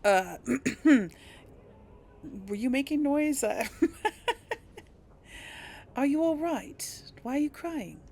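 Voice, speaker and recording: A woman's voice: high-pitched, lively intonation, takes it slowly, pauses often, darkish tone. A woman hosting a casual role-play Let's Play, chatting and thinking aloud as she plays. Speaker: gaming host. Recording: faint noise, game ambience behind.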